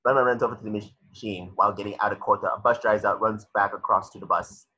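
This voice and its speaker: A man's voice: on the low side, fairly even intonation, quick pace, pushed and bright, slightly rough, lo-fi sound. A man narrating a cartoon script's stage directions in a matter-of-fact way, sometimes stumbling over the words. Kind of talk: reading a cartoon script aloud.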